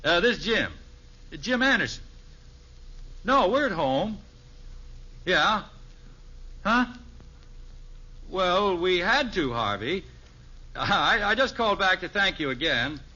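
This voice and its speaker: Genial suburban dad, American, animated and a bit hesitant, on muffled old radio. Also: male voice, high voice, slow, long pauses, exaggerated voice. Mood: awkward, hearty. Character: genial middle-aged suburban husband and father. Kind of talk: 1950s radio sitcom acting. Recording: muffled vintage radio recording.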